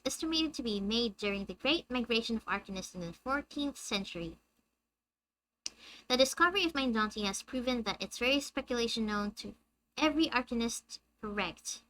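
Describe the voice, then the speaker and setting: A young woman with a high-pitched, squeaky voice, chatting with her viewers on a live game stream and reading the story text aloud. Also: sharp tone, a little hiss or hum, rough recording. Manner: chatty, reads dialogue aloud and answers chat.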